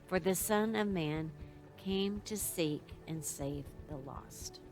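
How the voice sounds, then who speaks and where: Lady reading scripture, kinda low, soft music under it. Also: slow pace, poor audio. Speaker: scripture reader.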